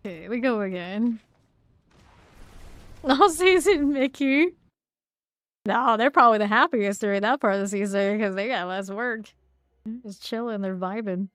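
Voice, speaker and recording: A woman's voice: mid-range voice, measured pace, long pauses, a bit bright, dry recording. Brief: rambling, laughing woman game streamer, ordinary mid-range voice, chatty aside while she plays. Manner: chatty, rambling, laughs easily between callouts. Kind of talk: casual chat while playing a game live.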